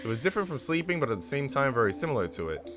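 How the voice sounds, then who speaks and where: A man's voice: medium pitch, sing-song, racing, voice pushed up, natural voice. Visual novel heroine narrating read by a man, reflective and unsure, varied intonation, music underneath. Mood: reflective, uncertain.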